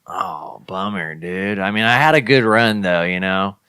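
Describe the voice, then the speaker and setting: Man as low, clear, laid-back dude. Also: unhurried, dry recording. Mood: resigned. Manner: slangy, mellow wording, brief agreeing remarks. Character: laid-back, easily impressed male stoner dude. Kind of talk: improvised comedy podcast in character.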